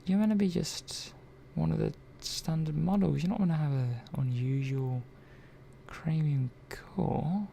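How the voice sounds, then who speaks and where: Man playing a soft, creaky-voiced robot technician. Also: fairly high pitch. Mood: curious, puzzled. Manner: unhurried, murmuring, thinking aloud with hesitant asides. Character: curious, gently chatty robot repair technician. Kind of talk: soft-spoken ASMR roleplay. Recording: faint background noise.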